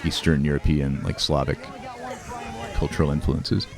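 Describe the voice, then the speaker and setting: A man hosting a show, talking casually and reflectively over home movies, with fillers, restarts and soft laughs. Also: rumbling low, flat delivery, normal speed, gravelly, crowd noise. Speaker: host.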